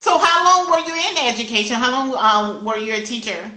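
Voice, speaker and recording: A woman's voice: a little high, a little fast, sharp tone, roomy sound. An older woman hosting a casual book interview in a chatty, effusive, talkative way. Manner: talkative, effusive with frequent interjections and ready laughter. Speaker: host of a children's book channel.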